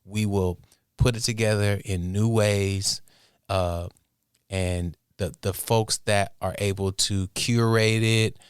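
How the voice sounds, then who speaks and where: A man with a fairly low voice speaks as an interview guest. He thinks aloud in halting, measured phrases, giving considered conversational answers. The sound is close and dry. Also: fairly even intonation, smooth, no echo. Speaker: interview guest, music producer and inventor.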